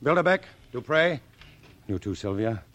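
An actor playing a steady, determined scientist in a 1950s radio drama. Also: male voice, medium pitch, measured pace. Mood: resolute. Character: steady, determined male scientist leader in alien invasion.

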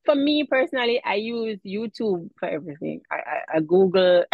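A woman with a Jamaican accent talks as an interview guest, animated and quick to laugh, repeating phrases as she goes. Her voice sits mid-pitch, dry and close. The audio is dull and lo-fi. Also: gravelly, husky, no echo. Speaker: guest, mom influencer. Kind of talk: casual interview chat.

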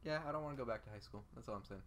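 A young man with a mid-pitched voice co-hosts a casual podcast. He talks in loose, playful banter and likes to tease. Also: racing, a little hiss or hum. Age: young adult. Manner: teasing, playful. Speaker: podcast co-host.

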